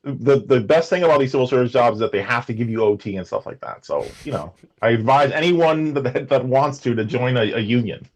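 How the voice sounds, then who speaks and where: A man with a New York accent and a big booming voice, arguing on a livestream call, halting and restarting his sentences. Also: low-quality recording. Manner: halting, restarts a lot, defensive. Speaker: livestream guest. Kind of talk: casual livestream debate.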